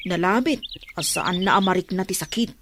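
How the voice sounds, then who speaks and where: A woman's voice: on the low side, moderate pace, lightly acted. A woman playing a concerned, talkative village woman who gives frank advice in an Ilocano radio drama. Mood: earnest, frank. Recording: noisy track with birdsong and clicks.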